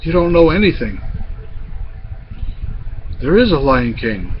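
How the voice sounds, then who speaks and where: A man plays a serene, loving storybook queen. The delivery is composed and regal, taken slowly, with a slightly dull recording and faint noise. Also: a little high, sing-song. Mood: calm defiance. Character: serene, loving queen, gently scornful of enemies.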